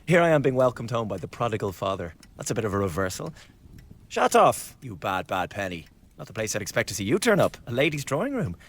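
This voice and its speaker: An actor playing a sardonic, contemptuous young man who mocks his father with glib, lively remarks in a radio drama. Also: medium pitch, fast. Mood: mocking. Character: mocking, cold young man, the returning son.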